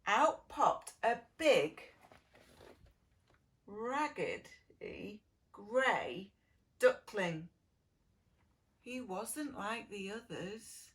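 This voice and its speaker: A woman reads to preschoolers as their teacher. Her pitch rises and falls freely and her pace is leisurely. She speaks in an exaggerated sing-song, pausing to put gentle questions to small children. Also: animated. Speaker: preschool early years teacher reading a story. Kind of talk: children's storytelling, addressing preschoolers.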